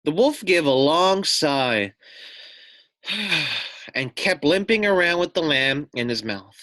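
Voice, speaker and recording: A man's voice: fairly high pitch, bright, forceful tone, no echo. A man reading a children's story aloud expressively, with simple explanatory asides for young listeners.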